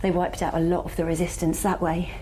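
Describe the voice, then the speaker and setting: An actress playing a wounded, weary scientist in an audio drama, speaking in a guarded, wry, understated way. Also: female voice, fairly low pitch, level tone, a little fast, mellow, slight background noise, lightly acted. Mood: grim, haunted. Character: wounded, weary woman astrophysicist surviving an alien invasion.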